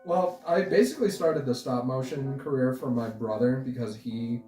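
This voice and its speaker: Halting young man, echoey and off-mic. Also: mid-range voice, warm tone, recorded from a distance. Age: 20s. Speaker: interview guest, stop-motion creator. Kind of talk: casual chat. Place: indoor room, sharing the frame with host. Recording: roomy, off-mic, music underneath. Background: guitar music.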